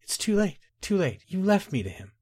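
A dignified widowed queen from a fantasy storybook, played by a male reader, formal and guarded with hurt underneath. The pitch lifts and moves freely, and the sound is close and dry. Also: very animated, normal speed, a little mellow, dry recording. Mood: bitter hurt. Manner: formal, icy, emotional.

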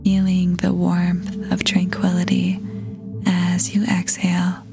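Slow, whispery woman guiding meditation over music. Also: fairly low pitch, completely flat delivery. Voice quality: soft, breathy, whispery. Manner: soothing, gentle, measured with long pauses. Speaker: meditation guide. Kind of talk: guided meditation, scripted narration.

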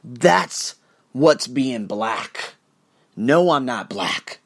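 A man rants straight to camera in a mid-pitched voice. He is blunt and profane, and his pitch jumps up sharply when he presses a point. He often stops and restarts his sentences. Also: lively intonation, very slow, vocal fry, breathy edge. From United States. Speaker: podcast host. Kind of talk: ranting monologue to camera.

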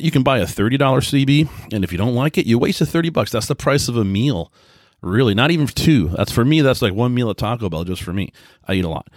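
A man's voice: mid-range voice, dry recording, clean studio sound. A man hosting a casual solo podcast, talking in a rambling, informal, conversational way. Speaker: podcast host. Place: indoors at home by a computer.

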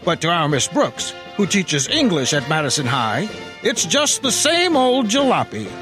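A man's voice: high-pitched, huge pitch swings, a little slow, heavily acted. A man playing an upbeat announcer of a 1950s radio comedy. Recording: music behind the voice.

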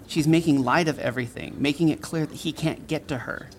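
A man playing an earnest, observant onlooker with a gravelly, husky voice in an audio drama, casually explaining. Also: a little high, brisk, lightly acted. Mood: watchful, explaining. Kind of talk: fiction podcast audio drama.

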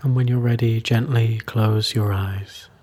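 A man leading a guided meditation as a teacher, soothing and unhurried, with long pauses between short phrases. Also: lowish voice, steady tone, warm tone, breathy edge.

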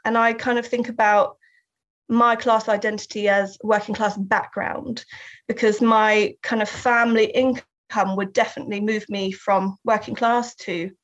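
A woman's voice: mid-range voice, little pitch movement, a little slow, fairly bright tone. A woman with a Southern English accent, talking thoughtfully as an interview guest, often laughing and restarting her sentences. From England. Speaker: guest artist and writer. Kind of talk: reflective interview talk. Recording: slightly dull lo-fi sound.

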